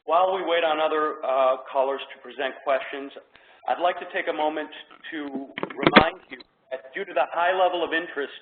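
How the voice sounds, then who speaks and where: A man's voice: fairly high pitch, slight background noise. A man moderating a conference call, politely reading out participants' questions. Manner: polite, measured, reads questions aloud. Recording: echoey phone line.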